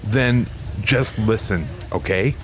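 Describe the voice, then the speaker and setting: A man's voice: fairly low pitch, slow pace, music in the background. An actor playing a tense policeman and father, urgently trying to talk someone down in an audio drama. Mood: urgent, coaxing. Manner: urgent, coaxing. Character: tense policeman negotiator, father, pleading and rattled.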